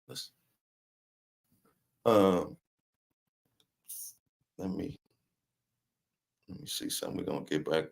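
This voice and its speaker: A man's voice: lowish voice, gravelly. A man hosting a casual livestream, chatting loosely and joking with viewers in the chat. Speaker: livestream host. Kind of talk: casual live-stream commentary, reading chat.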